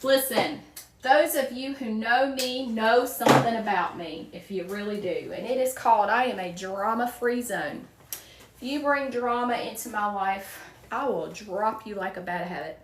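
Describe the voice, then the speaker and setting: A woman's voice: a little high, unhurried, echoey room, recorded from a distance, a little hiss or hum. A woman in her forties from a small town in Virginia, with a Southern accent, chatting casually with livestream viewers while crafting and greeting them by name. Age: 40s. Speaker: wreath-making livestream host.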